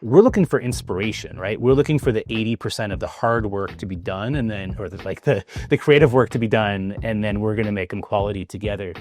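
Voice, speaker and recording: A man's voice: on the low side, fast talker, hardly any pauses, darkish tone, slight background noise. A man hosting a casual business conversation, talking in a loose, chatty way with many fillers and restarts. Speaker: host.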